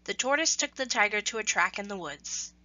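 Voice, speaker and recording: A woman storyteller with a bright, forward voice reads a children's tale expressively and deliberately. The recording is dry and close, though slightly dull in tone. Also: mid-range voice, lively intonation, no room sound. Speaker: storyteller reading aloud. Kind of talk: scripted children's story narration.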